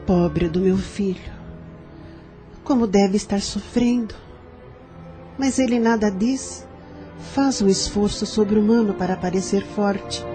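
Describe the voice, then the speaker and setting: A woman playing a tender, sorrowful grandmother in an audio novel, speaking gently. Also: medium pitch, slow, pauses often, lightly acted. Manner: gentle, caring. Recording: clear music behind the voice.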